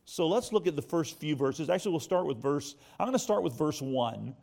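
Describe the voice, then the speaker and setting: A man's voice: a little high, expressive, a little fast, gravelly, darkish tone. An American man giving a sermon in a conversational way, asking rhetorical questions and repeating points for emphasis. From United States. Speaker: preacher.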